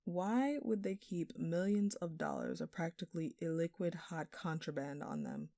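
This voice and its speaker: Woman playing a smug, flirty thief, low mellow voice. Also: moderate pace, gravelly, no echo, clean studio sound. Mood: sly, mock-innocent. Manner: teasing, sly, mock-innocent. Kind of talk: audio roleplay acting addressed to the listener.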